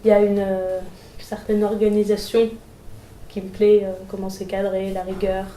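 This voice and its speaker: A young woman speaking as an apprentice, soft-spoken and hesitant. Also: medium pitch, completely flat delivery, normal speed, roomy sound, background noise.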